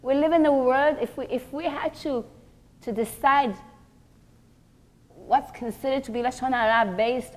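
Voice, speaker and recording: A woman's voice: on the high side, darkish tone. A woman teaching a religious class to women in an earnest, didactic way, often posing rhetorical questions and stressing her points. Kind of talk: religious lecture to a group of women.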